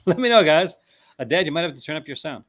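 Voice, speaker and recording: A man in a relaxed conversation, reading out film blurbs. He stumbles over names, restarts his words and laughs easily as he goes. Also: fairly high pitch, normal speed. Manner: reads product blurbs aloud, stumbling and restarting, laughs often. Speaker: host. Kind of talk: casual chat.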